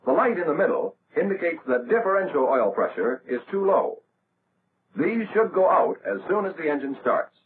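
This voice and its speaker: A tinny, narrow old film soundtrack carries an American man narrating a 1940s army instructional reel. His voice sits fairly high and moves in lively rises and falls. He delivers scripted instructions in a measured, orderly way. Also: slight background noise.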